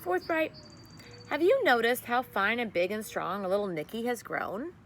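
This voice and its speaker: A woman's voice: fairly high pitch, lively intonation, moderate pace. Doting, anxious storybook mother read by a woman, dreamy and fussy, noisy recording. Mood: dreamy, proud. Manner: dreamy, fussing.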